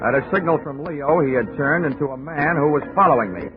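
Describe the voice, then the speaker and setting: An actor playing a wisecracking hard-boiled insurance detective who narrates and speaks in a dry, sardonic way, in 1940s radio drama style. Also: male voice, a little high, brisk, natural voice. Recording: tinny old radio broadcast with music.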